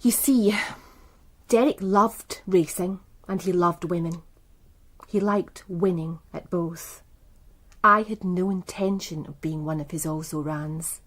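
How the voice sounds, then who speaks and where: A proud, worldly English businesswoman for radio drama. She speaks in a lowish voice with composed, articulate phrasing. Her manner is coolly self-assured. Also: takes it slowly. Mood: cool, knowing. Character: poised, proud, worldly businesswoman running a bookmaking empire. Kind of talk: British radio crime drama acting.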